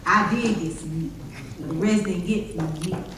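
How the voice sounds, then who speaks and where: A woman's voice: fairly low pitch, natural voice. A woman playing an earnest formerly enslaved person recalling plantation life in folksy dialect, as a readers theater monologue. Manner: folksy dialect, measured storytelling. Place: church hall. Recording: distant mic, very echoey hall, faint noise.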